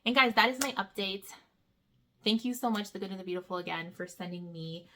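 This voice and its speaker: A woman's voice: mid-range voice, a little fast, fairly bright tone, a little hiss or hum. A woman in her thirties, a homeschool vlogger chatting casually to camera and calling her viewers "guys". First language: not Portuguese.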